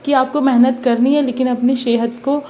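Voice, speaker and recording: Young mother vlogging with a sore throat, higher voice, mellow tone, faint hiss behind. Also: little pitch movement, moderate pace. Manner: earnest, encouraging, mixes English words into Hindi. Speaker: study vlogger, mother preparing for police exam. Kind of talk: casual motivational vlog talk.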